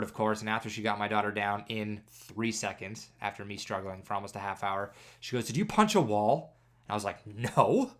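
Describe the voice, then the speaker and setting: A man's voice: on the low side, fairly bright tone. A man in his thirties from Canada, stuffed up with congestion, hosting a casual solo podcast with self-deprecating jokes. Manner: self-deprecating, jokey storytelling. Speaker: podcast host.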